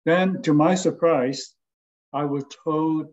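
An older man from Hong Kong, an architect recalling his career in a halting, casual way, often checking in with "okay?". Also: a little high, fairly even intonation, a little mellow, lo-fi sound. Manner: halting, many fillers and restarts, tags with "okay?". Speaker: interviewee, architect and former design lecturer. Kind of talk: casual spoken reminiscence.

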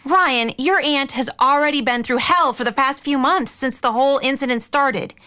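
A woman's voice: sits high, moderate pace, pushed and bright. A woman playing a caring but exasperated middle-aged mother and aunt in an audio drama, earnest and firm. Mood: earnest, pleading.

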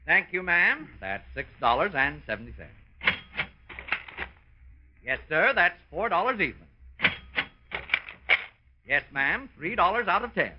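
A courteous, busy store clerk, animated, muffled 1950s radio sound. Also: male voice, high-pitched, measured pace, long pauses. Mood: busy, polite. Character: busy, polite male department store cashier. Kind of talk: 1950s radio comedy acting. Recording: noisy, dull old radio recording with clicks.

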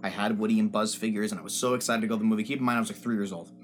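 Guy cohosting a podcast, lively storyteller, laughs a lot. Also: fairly low pitch, very fast. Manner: animated storyteller, laughs often. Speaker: podcast co-host. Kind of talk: casual group podcast chat.

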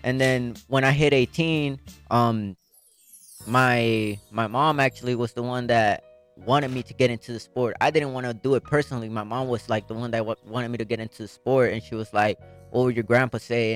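A young man from Puerto Rico talks about his life as a boxer, earnest and soft-spoken. His voice sits at a middle pitch and drops quietly at times. Faint music plays behind him. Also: fairly even intonation, moderate pace, clean tone. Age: young adult. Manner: soft-spoken, earnest, many fillers, voice drops when emotional. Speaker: professional boxer guest. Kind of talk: interview storytelling.

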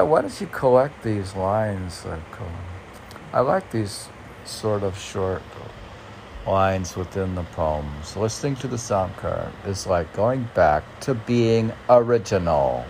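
Low, gravelly man musing slowly over poetry. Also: animated, darkish tone, slight background noise. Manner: musing, hesitant, thinking aloud. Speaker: poet reading and musing on his own poems. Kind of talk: casual rambling commentary between read-aloud lines of poetry.

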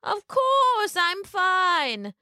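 Wolf in grandmother disguise, played by a woman: cunning, syrupy, slow, pitch lurching up and down, dry storybook sound. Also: very animated, bright, forceful tone, no echo, heavily acted. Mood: feigned sweetness. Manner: slow, feigned sweetness, wavering pitch. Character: sly male wolf impersonating a sick old grandmother.

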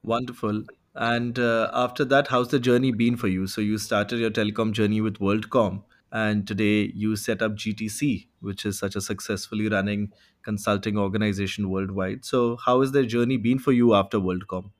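A man's voice: fairly low pitch, flat delivery, normal speed. A man hosting a podcast, giving polished introductions and guiding the conversation. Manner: polished, welcoming introductions with frequent "you know". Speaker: podcast host.

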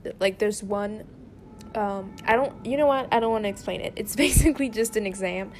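A woman's voice: medium pitch, brisk, a little hiss or hum. A teenage girl from France, speaking English with a French accent as a second language, rambling casually into her phone for a solo podcast, often restarting her sentences. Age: teens. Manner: rambling, self-interrupting, chatty.

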